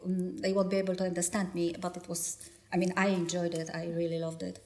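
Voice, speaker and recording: A woman's voice: lowish voice, level tone, fast, a little mellow. Nervous Polish-accented woman, echoey room. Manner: nervous, hesitant, many fillers. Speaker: knitting designer and teacher. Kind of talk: interview. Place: yarn festival venue.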